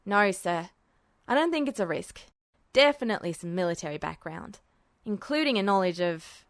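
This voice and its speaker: A woman's voice: medium pitch, lively intonation, moderate pace, no room sound, clean studio sound, pitched below the performer's own voice, close to an everyday voice. A woman voicing a businesslike male lieutenant reporting to his superior in a storybook reading.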